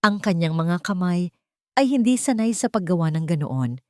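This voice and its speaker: Female storyteller for scripted romance audio drama, lower register, very dry recording. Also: big pitch swings, normal speed, warm tone, dry recording.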